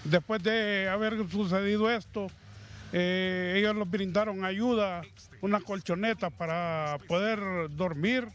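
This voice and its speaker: A middle-aged man with a Honduran accent interviewed outdoors about losing his home, speaking slowly and haltingly with religious phrasing, into a handheld mic. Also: high-pitched, steady tone, fairly bright tone, a little hiss or hum. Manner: slow, halting, pious. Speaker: fire victim interviewed. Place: outdoors by a burned house. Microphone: handheld reporter mic.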